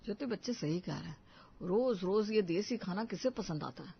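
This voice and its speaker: A man voicing a weary, curt husband and father in an animated family story. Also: sits high, moderate pace, gravelly, husky, highs cut off, heavily acted. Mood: weary annoyance. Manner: curt, exasperated.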